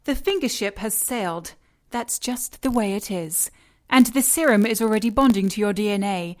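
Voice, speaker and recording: A woman's voice: mid-range voice, moderate pace, lightly acted. Woman playing a cool, commanding villainess. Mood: unyielding. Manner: composed, precise, condescending. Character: cool, commanding female villain boss, dryly mocking. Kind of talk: audio drama acting.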